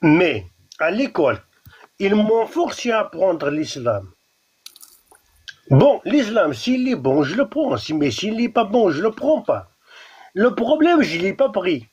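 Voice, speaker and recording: A man with a Kabyle Algerian accent argues hard in a live online debate, his pitch leaping up and down as he presses his point. His voice is husky and fairly high. It comes through a dull, muffled live audio feed. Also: big pitch swings, slow pace, darkish tone. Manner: insistent, repetitive, restarts words. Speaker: debate guest.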